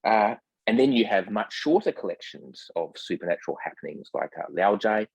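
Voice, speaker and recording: A man speaking as a guest translator in a scholarly discussion, formal in wording, with hesitant, measured phrasing full of ums. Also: lowish voice, sing-song, gravelly, breathy edge, no echo, low-quality recording. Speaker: literary translator, podcast guest.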